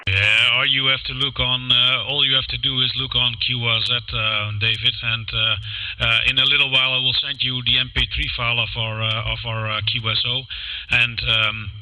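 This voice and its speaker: A man's voice: medium pitch, level tone, normal speed, pushed and bright, poor audio. A man whose first language is Dutch, speaking English hesitantly with many fillers as an amateur radio operator. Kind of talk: ham radio contact.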